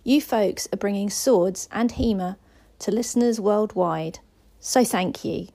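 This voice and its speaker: A woman hosting a podcast, introducing and interviewing in a clear presenting role. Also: medium pitch, measured pace, warm tone.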